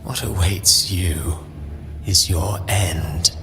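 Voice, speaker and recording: A man's voice: sits low, very slow, background noise. A man playing a cold, fatalistic villain in a video game, delivering portentous, theatrical taunts. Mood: ominous calm. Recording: voice over clear background music.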